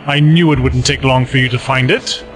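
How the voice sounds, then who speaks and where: A man's voice: medium pitch, steady tone, moderate pace, a bit bright, natural voice. A man playing a cruel, commanding Time Lord villain in an audio drama, pompous and menacing. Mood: smug menace. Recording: music behind.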